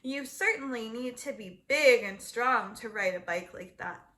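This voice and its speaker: A reasonable storybook father voiced by a woman reader, patient and measured. The delivery is bright and clearly meant to bargain gently with a child. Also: a little high. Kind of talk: children's storybook read-aloud.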